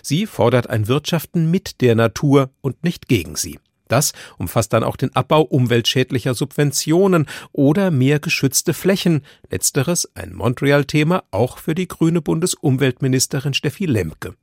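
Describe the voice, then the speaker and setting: A male radio presenter reads a science report in a steady, matter-of-fact way. His voice sits at a middle pitch and the studio sound is dry and close. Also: no echo. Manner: measured, matter-of-fact delivery. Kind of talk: scripted radio narration.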